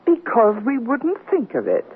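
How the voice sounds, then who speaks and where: An actress playing a polite, protective married woman with a quiet tartness and a husky voice, in an American radio comedy. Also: a little high, wildly swooping pitch, a little slow, heavily acted. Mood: tart, earnest. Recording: muffled band-limited radio recording.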